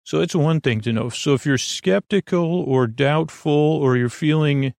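A man with a creaky voice hosting a sleep podcast, talking in a meandering, hesitant monologue that trails off into tangents. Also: on the high side, a little slow, darkish tone, no room sound, clean studio sound. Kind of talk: rambling monologue to help listeners sleep.

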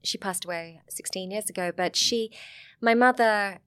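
A woman's voice: mid-range voice, moderate pace, fairly bright tone, smooth. A middle-aged woman with a Sussex English accent, a guest chatting frankly and lightly, laughing often. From England. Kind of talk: casual interview chat.

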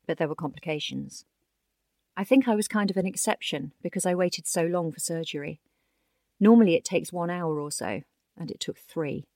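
Low-voiced woman reading an article. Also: moderate pace, darkish tone, dry recording. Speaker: narrator reading a magazine article. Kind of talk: scripted audio article narration.

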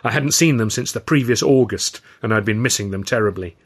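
A man's voice: fairly low pitch, a little fast, slightly rough. A man reading his own novel aloud as a scripted, first-person audiobook narration.

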